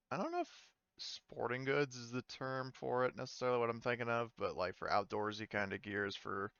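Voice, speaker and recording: A man acting as game master in a casual tabletop session, explaining things in a hesitant, halting way. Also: medium pitch, a bit bright, smooth, dry recording, muffled recording.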